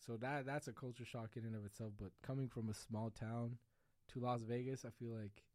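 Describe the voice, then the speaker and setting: A man's voice: medium pitch, little pitch movement, fairly quick, darkish tone, no echo. A young man co-hosting a podcast, easygoing and slangy, steering the chat with questions. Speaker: podcast co-host, interviewer. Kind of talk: casual interview chat.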